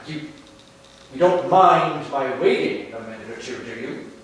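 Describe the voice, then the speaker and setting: A man's voice: on the high side, expressive, a little slow. Man playing weary mercenary soldier, theatrical, courteous, matter-of-fact, stage comedy, far from mic, heavy room echo. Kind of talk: live stage acting of a period comedy. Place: indoor stage in an arts center, recorded from afar. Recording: distant, very echoey theater recording, faint noise.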